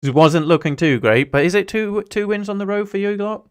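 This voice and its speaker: A man's voice: sits high, animated, brisk, clear, resonant tone. A man from Singapore hosting a casual football watch-along, rambling chattily between viewer chat, anecdotes and play-by-play. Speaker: football livestream host, Newcastle fan.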